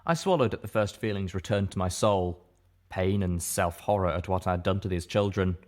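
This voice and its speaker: A man narrates scripted fiction in the first person. His voice is fairly low. The delivery is that of an audiobook reader telling a story. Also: fairly quick.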